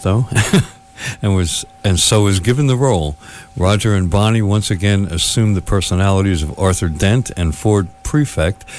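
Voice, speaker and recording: A man announcing for a radio theater, reading comic closing credits in a deadpan, tongue-in-cheek way. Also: deep voice. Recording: faint noise and hum.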